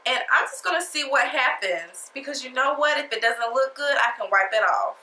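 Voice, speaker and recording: A woman's voice: sits high, lively intonation, moderate pace, bright, forceful tone, roomy sound, low-quality recording. Chatty, sassy woman with a stuffy nose. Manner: chatty, sassy, talks straight to viewers. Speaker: beauty vlogger. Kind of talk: casual makeup tutorial chat.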